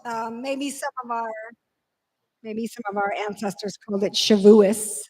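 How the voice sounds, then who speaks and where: Upbeat high-voiced woman leading a service. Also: unhurried, a little mellow, low-quality recording. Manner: upbeat, chatty, many fillers. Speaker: service leader. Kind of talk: leading a holiday service, casual.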